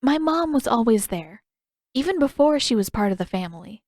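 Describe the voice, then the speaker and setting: Storybook teen heroine, played by a woman: girl, high voice, headstrong, curious, candid and pressing, close dry sound. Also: lively intonation, normal speed, a little mellow, dry recording. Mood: candid, earnest. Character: earnest, headstrong teenage girl, curious and persistent. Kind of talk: audiobook character dialogue.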